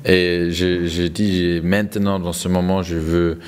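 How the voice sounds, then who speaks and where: Deep-voiced male interpreter in a hall. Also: level tone, a little slow, clear, resonant tone. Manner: hesitant, repeats and reformulates words. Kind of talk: consecutive live interpreting of a testimony. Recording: long hall reverb.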